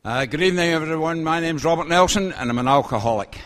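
An older man with a Glasgow-area Scottish accent giving a personal testimony to a gathering, halting and plain-spoken with dry humour, speaking into an event microphone. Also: on the high side, a bit bright. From Scotland. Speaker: guest main speaker.

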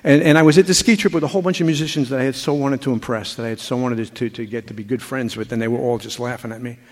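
An older man telling his recovery story to a group, halting and full of restarts. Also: mid-range voice, steady tone. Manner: halting, with frequent repeats and restarts. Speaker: speaker sharing a recovery story. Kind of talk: personal testimony to a group. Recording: hall reverb.

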